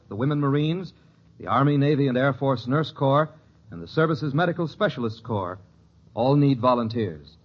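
A man reading a scripted 1950s radio announcement in a formal, deliberate way. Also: medium pitch, husky. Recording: muffled old radio broadcast.

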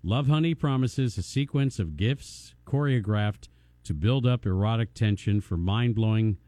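A man's voice: on the low side, unhurried, mellow, no echo. A man co-hosting a radio show, reading a tabloid story aloud in a matter-of-fact way.

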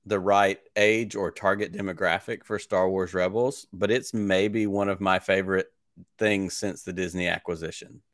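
Host, man, 40s, fan-chat energy, low voice, close dry mic. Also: steady tone, normal speed, no room sound. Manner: enthusiastic, long-winded questions. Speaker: podcast host. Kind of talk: casual interview chat.

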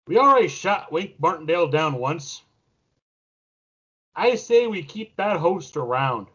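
A man's voice: fairly high pitch, a bit bright. A man co-hosting a podcast in casual game show banter, with theatrical, announcer-like flourishes. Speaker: podcast co-host. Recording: noisy dull lo-fi audio with clicks.

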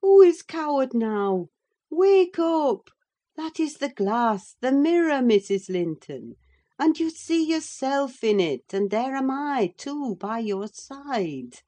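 A woman's voice: fairly low pitch, expressive, slow, darkish tone. A woman voicing a sensible, firm female servant in a classic-novel audiobook, matter-of-fact, insistent and chiding as she soothes her mistress.

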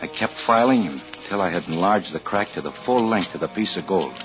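Low-voiced suspicious husband, 1940s radio. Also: male voice, expressive. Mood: brooding, tense. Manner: tense, halting, deliberate storytelling. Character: suspicious, uneasy husband and father narrating his discovery. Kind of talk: 1940s radio acting with first-person narration. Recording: dull vintage 1940s radio with music.

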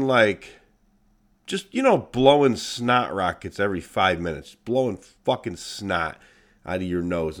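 A man's voice: medium pitch, creaky. Congested man with a nasal voice. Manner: blunt, profane, animated. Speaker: podcast host. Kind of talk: casual solo podcast monologue.

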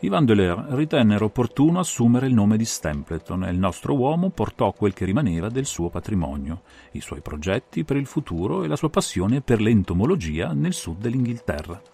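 A man performing an analytical gentleman detective recounting a solved case in a dramatized audiobook, with measured, theatrical phrasing. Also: on the low side, very animated, vocal fry, breathy edge, exaggerated voice. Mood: measured, explanatory. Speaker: audiobook reader and interpreter.

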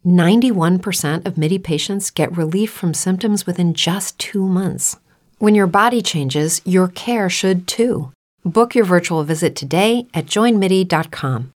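A woman's voice: lowish voice, measured pace, a little mellow. A woman delivering scripted advertisement narration as a voice-over.

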